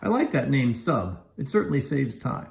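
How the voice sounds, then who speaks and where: Elderly storybook wizard read by a man, inventive, commanding, lifted in pitch with some gravel. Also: very animated, normal speed, background noise. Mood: curious. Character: kindly, inventive old bearded wizard, proud and commanding. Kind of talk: animated children's storybook reading.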